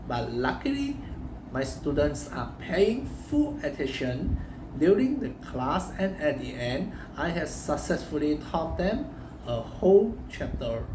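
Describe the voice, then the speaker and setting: A young man, a Chinese speaker, reads a prepared job-application talk at a slow, deliberate pace. He sits some distance from the microphone in a roomy, echoing space. Steady background noise runs underneath his voice. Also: fairly high pitch. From Malaysia. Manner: slow, careful, reading from notes with small restarts. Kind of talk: scripted self-presentation. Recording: echoey room, far from the mic, noisy background.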